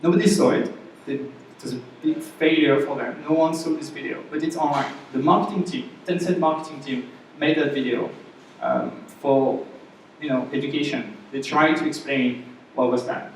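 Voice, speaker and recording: A man's voice: a little high, moderate pace, a little hiss or hum. A man with a French accent giving a casual, chatty class presentation to a live audience, often checking in with the room. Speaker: workshop instructor. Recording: echoey room, voice from far off mic.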